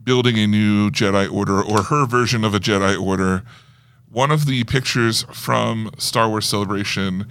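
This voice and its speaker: A man's voice: fairly low pitch, steady tone, normal speed, fairly bright tone. A man co-hosting a casual chat show, explaining fan lore at length in a geeky way. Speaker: podcast co-host reviewing film news.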